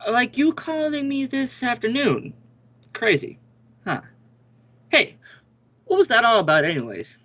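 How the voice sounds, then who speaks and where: An affable suburban father played by a man in an amateur radio play. His talk is conversational, with big swings in pitch, and he can turn suspicious and a little exaggerated. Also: very animated, a little slow, pauses often, pushed and bright, exaggerated voice. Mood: casual, curious.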